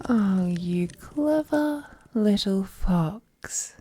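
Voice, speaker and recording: An ancient, godlike villainess, played by a woman, speaks straight to the listener in a romantic audio drama. Her voice is dark and husky, and she takes her words very slowly. She is regal, possessive and wounded, brooding theatrically and slipping into whispered phrases. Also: mid-range voice, animated, soft background music, exaggerated voice. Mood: quiet admiration.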